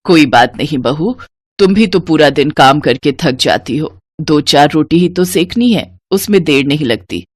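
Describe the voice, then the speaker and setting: A woman voicing a gentle, caring elderly mother-in-law in a moral story, speaking in a patient, motherly way. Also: low-pitched, lively intonation, normal speed, breathy edge, no echo, pitched below the performer's own voice. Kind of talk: scripted Hindi moral-story cartoon voice.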